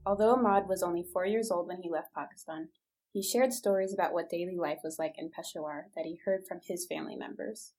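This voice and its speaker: A woman reading scripted feature narration in an even reportorial tone. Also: fairly low pitch, brisk, faint music behind, poor audio.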